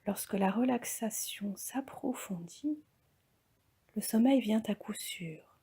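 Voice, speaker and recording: A woman leading a guided yoga relaxation as an instructor, speaking calmly and softly, often close to a whisper. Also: mid-range voice, slow. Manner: calm, soft, breathy, often near-whispered delivery.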